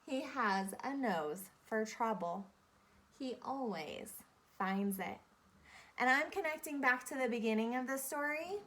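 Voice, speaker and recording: Slow-paced woman teacher, higher-pitched voice. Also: animated, fairly bright tone. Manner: deliberate, instructive, repeating key phrases and questions. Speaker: elementary school teacher. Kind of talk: interactive read-aloud lesson to young children.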